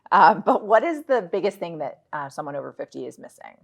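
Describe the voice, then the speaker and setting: Deep, creaky-voiced woman interviewer. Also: very animated, brisk. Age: late 40s. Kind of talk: casual conversational interview.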